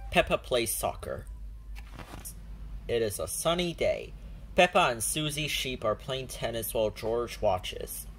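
A woman narrating a children's storybook aloud in a deliberate, simple storytelling manner. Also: extremely low pitch, sing-song, faint music behind, poor audio.

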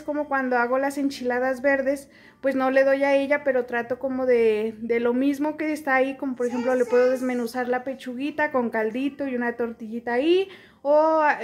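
A young woman with a Mexican accent, chatting casually to camera at home about her meal planning, with lots of fillers and restarts. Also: sits high. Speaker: cooking vlogger. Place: small room at home, indoors.

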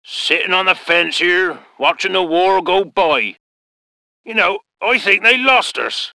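High, bright rustic tank crewman. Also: expressive, slow, vocal fry, dry recording, full character voice. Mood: wry, weary. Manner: rustic, colloquial, clipped military phrases. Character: folksy British tank crewman, rustic, loyal soldier. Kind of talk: short scripted game unit responses.

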